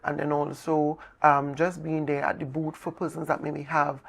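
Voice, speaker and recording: A man with a Tobagonian accent giving measured interview answers as a guest, explaining in fairly formal wording. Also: on the high side, flat delivery, gravelly, slight background noise. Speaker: interview guest, festival movement and dance coordinator.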